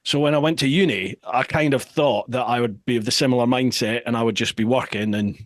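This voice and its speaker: A Scottish man in his forties hosting a podcast, telling stories in a casual, self-deprecating way with animated asides. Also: mid-range voice, fairly quick, no echo, clean studio sound. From Scotland. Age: 40s. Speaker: podcast host. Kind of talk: casual chat.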